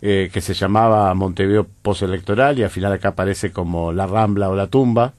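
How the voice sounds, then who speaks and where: Soft-spoken Uruguayan man, low dry voice. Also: fairly quick, no echo. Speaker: guest poet. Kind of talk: radio interview conversation. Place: radio studio.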